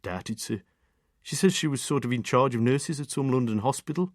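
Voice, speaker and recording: A man's voice: medium pitch, animated, a little fast, dry recording, studio quality, voice pushed up, natural voice. A man voicing a respectful, plain-spoken young police constable. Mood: deferential.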